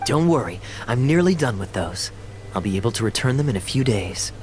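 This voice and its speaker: A man's voice: medium pitch, sing-song, brisk, music in the background, close to an everyday voice. A man voicing a suave, easygoing young knight with a sly, playful and casual manner, in video game style.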